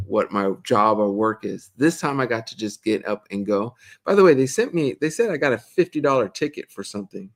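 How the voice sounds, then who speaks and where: A middle-aged man co-hosting a casual live Q&A, chatting easily and reading viewer comments aloud with a joking, relaxed manner. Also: on the low side. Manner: chatty, jokey, reads viewer questions aloud.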